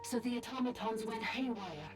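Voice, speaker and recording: Game automaton played by a woman: high, plain reporting tone beneath prominent music. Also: animated, moderate pace, natural voice. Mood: subdued. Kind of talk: video game voice acting. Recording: loud game music over voice.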